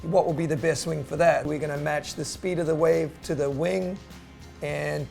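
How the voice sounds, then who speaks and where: A middle-aged man with a New Zealand accent, a company co-founder talking casually about his work and often pausing with "uh". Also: a little high, gravelly, faint music behind. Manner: relaxed, with frequent "uh" pauses. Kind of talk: casual promotional chat.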